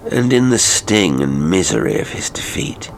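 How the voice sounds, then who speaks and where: A man narrating a classic horror audiobook in a measured, literary, theatrical manner. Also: low-pitched, sing-song, slight background noise, poor audio. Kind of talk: dramatic horror story narration. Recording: music behind the voice.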